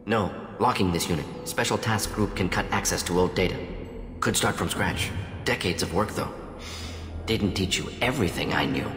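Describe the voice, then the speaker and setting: A man's voice: sits low, normal speed, a bit bright, a little hiss or hum. A man playing an aging alien scientist and professor in a video game, speaking in clipped, telegraphic phrases that drop small words. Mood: curt, dismissive. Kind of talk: video game cutscene acting. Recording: echoey hall reverb under background music.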